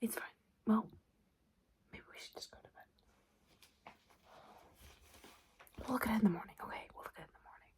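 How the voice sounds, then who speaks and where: A woman speaking softly and breathily in an ASMR roleplay, often slipping into a whisper, chatty and a little hesitant. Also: a little high, normal speed, pauses often. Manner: hesitant, chatty, murmured reading aloud. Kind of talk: soft-spoken ASMR roleplay. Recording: noticeable background noise. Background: paper and tapping sounds.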